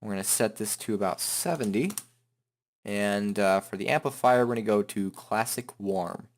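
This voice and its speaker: Casting: male tutorial host, lowish pitch, loose and chatty, unscripted step-by-step delivery. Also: moderate pace. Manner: informal, rambling, hums filler syllables while working. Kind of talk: casual improvised software tutorial.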